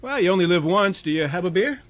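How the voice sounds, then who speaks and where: A man's voice: high voice, lively intonation, quick pace. An actor playing a breezy, affable younger male dinner guest in a radio drama, talking casually. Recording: faint background noise.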